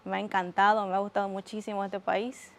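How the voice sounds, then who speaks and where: A woman's voice: medium pitch, little pitch movement, a little fast. A young woman from Argentina with a Bolivian Spanish accent, a doctor guest on a TV interview, explaining earnestly with some hesitations. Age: young adult.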